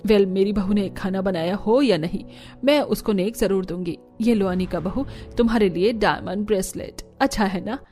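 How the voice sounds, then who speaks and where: A woman's voice: mid-range voice, normal speed, dark tone, soft background music, close to an everyday voice. A woman voicing a proud, bossy middle-aged mother-in-law in a Hindi cartoon drama, with a scolding, commanding manner.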